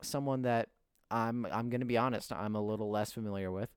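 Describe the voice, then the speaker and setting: Hesitant teen host, smooth low voice. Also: male voice, steady tone, fairly quick, no room sound, clean studio sound. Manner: hesitant, lots of filler words. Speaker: podcast host. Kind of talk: casual interview on a podcast.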